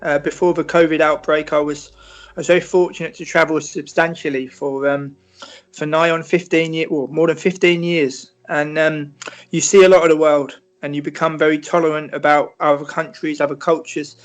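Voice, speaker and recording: A British man answering interview questions in a measured, reflective way, often restarting and filling pauses. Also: on the high side, flat delivery. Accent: British English. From Britain. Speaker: football writer and interview guest.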